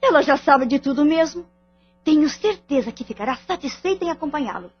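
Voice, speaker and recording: A woman's voice: sits high, lively intonation, brisk. A woman playing a firm, proud, exasperated wife and mother in an audio drama, speaking formally. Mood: indignant, cool. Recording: dull lo-fi audio with music underneath.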